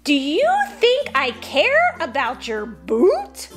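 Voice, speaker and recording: A woman voicing an irate, bellowing male pumpkin farmer in a children's story, loud and indignant. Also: high voice, huge pitch swings, takes it slowly, soft background music, pitched above the performer's own voice, full character voice. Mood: outraged.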